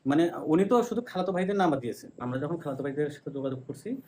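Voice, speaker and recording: A man on a show's team giving a conversational report, often halting and correcting himself as he explains. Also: a little high, lively intonation, a little mellow. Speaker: show team member reporting on sources. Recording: clear background noise.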